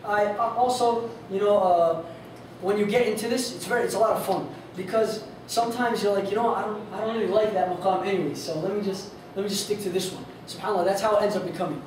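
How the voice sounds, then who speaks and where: A man with a high voice teaches a casual lesson, talking in a loose, rambling way full of fillers. His voice is strained, as if he is losing it. He sounds far from the mic, in a very echoey hall with a faint hiss behind him. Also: fairly even intonation, fast. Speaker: instructor on Quran recitation. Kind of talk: informal lesson with sung demonstrations.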